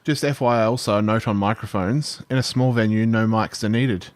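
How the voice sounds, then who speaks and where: A man co-hosting a podcast, telling stories in an animated way and swearing casually. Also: fairly low pitch, fairly quick, no room sound. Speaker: podcast co-host. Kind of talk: casual storytelling and banter.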